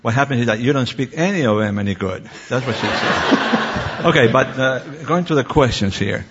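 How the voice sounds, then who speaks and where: A man's voice: mid-range voice, animated, moderate pace, very noisy. Spanish-accented man in a reverberant hall. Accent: Spanish-speaking. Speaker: apologetics expert on a panel. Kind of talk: informal explanation to an audience. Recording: dull muffled recording in reverberant hall.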